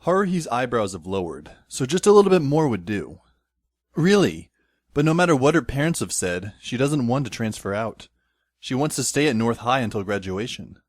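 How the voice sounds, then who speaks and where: Man playing wry schoolboy narrator, lively pitch. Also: normal speed, dry recording. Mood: evasive. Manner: sardonic, wordy, dry inner monologue. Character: wry, exasperated teenage schoolboy narrating his own story. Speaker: narrator and lead character. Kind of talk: amateur audio drama narration and acting. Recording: faint music behind the voice. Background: faint music.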